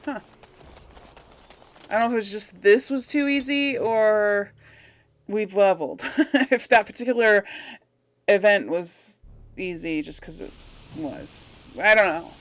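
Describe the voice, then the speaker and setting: A woman's voice: medium pitch, measured pace, pauses often. A woman giving casual, rambling video game commentary to her audience, chatting to the characters as she plays. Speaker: host of a video game let's play.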